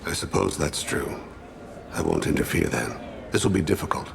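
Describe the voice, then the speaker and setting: An actor playing a solemn, patient alien assassin in a video game, with a gravelly voice and a measured, grave manner. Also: male voice, a bass voice, animated, noisy background, exaggerated voice. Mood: grave, thoughtful.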